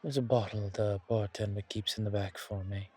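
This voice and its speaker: A man playing a suave, centuries-old vampire in a horror ASMR roleplay, languid and genteel with quiet menace and a husky, breathy voice. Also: fairly low pitch, fairly even intonation, normal speed, a faint crowd.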